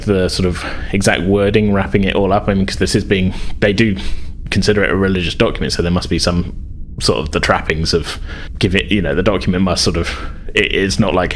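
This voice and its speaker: A man acting as game master, narrating casually and improvising in a rambling, self-correcting way. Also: sits low. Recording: faint background noise.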